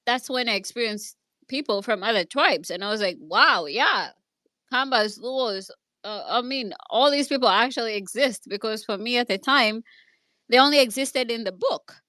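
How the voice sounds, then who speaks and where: A woman's voice: a little high, normal speed, bright, forceful tone, no room sound. A woman with a Kenyan accent telling a personal story in an online discussion, earnest and hesitant, with many restarts. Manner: earnest, speaks from her own experience. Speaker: speaker in an online audio discussion.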